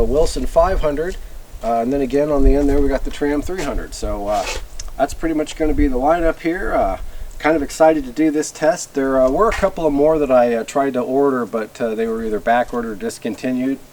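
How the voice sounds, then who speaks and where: A middle-aged man casually hosting a gear test vlog, talking in a halting, informal way. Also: mid-range voice, darkish tone, low-quality recording. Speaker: host of a radio gear review channel. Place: outdoors by a garage door, later a garage workshop. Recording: background ambient noise.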